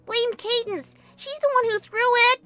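Very high, excitable little girl. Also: normal speed, faint music behind, heavily acted. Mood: gleeful, fond. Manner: gleeful, childlike storytelling. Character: giggly, excitable little girl narrating her play battle. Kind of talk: storybook narration in character.

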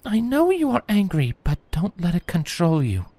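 A man voicing a gentle, regal princess in a storybook reading, speaking softly and sorrowfully in a raised voice. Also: sits high, animated, measured pace, no room sound, voice pushed up. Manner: soft, gentle and apologetic.

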